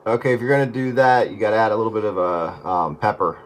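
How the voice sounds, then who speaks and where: A man's voice: lowish voice, fast talker, very noisy. A man chatting casually over the radio, rambling and correcting himself as he goes.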